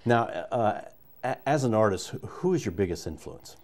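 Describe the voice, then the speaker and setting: Casting: American male interview host, conversational, with a varied, animated pitch. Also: normal speed.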